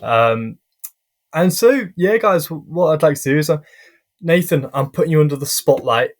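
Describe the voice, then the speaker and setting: A young man hosting a casual podcast interview, friendly and hesitant with frequent pauses and restarts. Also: fairly high pitch, expressive. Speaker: podcast host.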